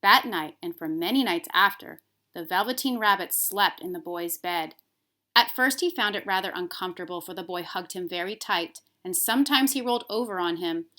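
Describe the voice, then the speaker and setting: A woman reading a children's story aloud as a teacher, expressive, with emphasis on key words. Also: mid-range voice, fairly quick.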